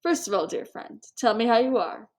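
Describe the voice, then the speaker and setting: A woman's voice: a little high, animated, normal speed, close to an everyday voice. A woman voicing an aging, patronizing prince with a languid, listless and coldly polite manner, in a storybook reading. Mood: politely indifferent.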